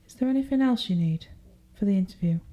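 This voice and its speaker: Actress as a chillingly candid jailed murderess. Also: female voice, mid-range voice, expressive, quick pace, mellow, lightly acted. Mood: breezy, unsettling. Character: jailed woman murderer, chillingly candid, numb, unrepentant mother. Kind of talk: audio horror drama, intimate confession.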